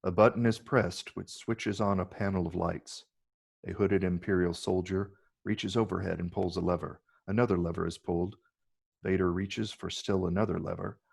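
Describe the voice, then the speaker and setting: A man's voice: low voice, vocal fry, a little mellow, breathy edge. A man narrating stage directions in a scripted reading.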